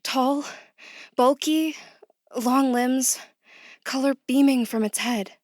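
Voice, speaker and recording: A woman playing a frightened new office employee, halting, anxious and urgent, in a horror audio drama. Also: high-pitched, steady tone, a little mellow, dry recording, clean studio sound.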